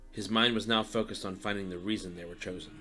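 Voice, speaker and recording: A man reads scripted story narration in a fairly low voice. Faint music runs underneath his narration. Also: steady tone, quick pace, sharp tone.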